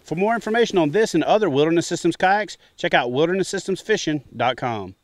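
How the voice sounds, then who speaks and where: A man's voice: a little high, expressive, fast talker, dry recording. A middle-aged man hosting a fishing show, talking casually and jokingly from his kayak on a wooded lake. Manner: joking, easygoing. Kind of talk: casual on-camera talk from a kayak. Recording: music bed underneath.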